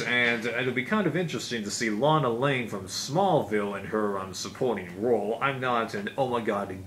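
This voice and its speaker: A man's voice: medium pitch, fairly bright tone. A man talking casually and unscripted, as a reviewer in a collection vlog, in a rambling, conversational way with frequent asides. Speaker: video reviewer of home video releases. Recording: clearly noisy amateur recording.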